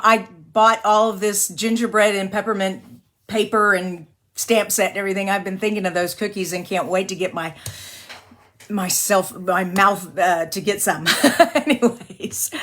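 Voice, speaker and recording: Chatty woman with a slightly raspy voice. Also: medium pitch, unhurried, a bit bright. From Texas. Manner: rambling, apologetic, laughs often. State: slightly raspy voice from singing and allergies. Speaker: card-making tutorial host. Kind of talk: live-stream craft tutorial, chatty.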